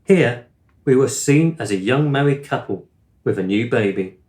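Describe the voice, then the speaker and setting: An actor playing a gentle, absent-minded middle-aged father in a radio play, with a wistful storyteller's manner. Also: medium pitch, expressive, normal speed, roomy sound, close to an everyday voice. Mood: tender.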